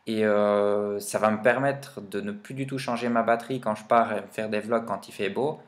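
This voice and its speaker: A man's voice: fairly low pitch, fairly even intonation, normal speed. A man talking informally and with plenty of slang as he explains gear in a casual vlog review. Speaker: vlogger reviewing motorbike and quad gear.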